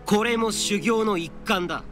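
A man's voice: sits high, measured pace, over music. A man voicing a stern, aloof immortal master in a game, curt and cold. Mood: stern, cool.

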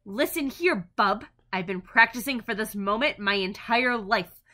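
A woman's voice: mid-range voice, pushed and bright. A woman voicing a snooty, confrontational young female rival in a cartoon. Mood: menacing. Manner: confrontational, deliberate threats. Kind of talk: animated cartoon voice acting.

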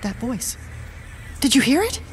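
An actress playing an anguished, guilt-ridden woman in an audio drama, a woman speaking in a haunted, halting way. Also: high voice, big pitch swings, slight background noise, poor audio.

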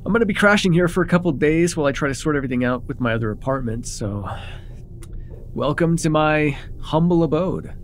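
A man's voice: a little high, animated, brisk, natural voice. A man playing an eager, awkward young vampire in an intimate ASMR roleplay, rambling nervously and stumbling over his words. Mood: chatty, playful. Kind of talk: intimate ASMR roleplay, one-sided conversation.

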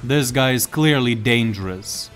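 A man's voice: mid-range voice, unhurried, a bit bright, soft background music. A man reading scripted, dramatic story narration as the narrator.

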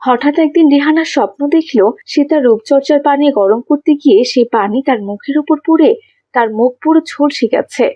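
A woman's voice: high voice, fairly quick, dark tone, muffled recording. A woman narrating a scripted moral story for children.

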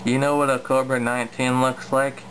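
A man casually talking through a hands-on radio demo in a plain, low-key way, recorded indoors on a handheld camera. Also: level tone, moderate pace. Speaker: radio hobbyist presenting a demo. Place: indoors at a home radio setup. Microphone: handheld camera filming the equipment. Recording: clear background noise, radio static.

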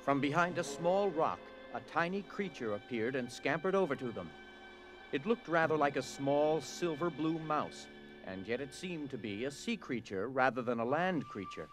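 Animated male story narrator over music. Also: medium pitch, moderate pace, poor audio. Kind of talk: scripted dramatic story narration.

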